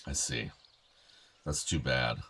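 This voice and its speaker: A man's voice: very deep voice, slow pace, pushed and bright, a little hiss or hum, exaggerated voice. An actor playing an anxious, educated middle-aged American father in a radio play, earnest and pressing but conciliatory. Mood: concerned, pressing. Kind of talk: naturalistic radio play acting.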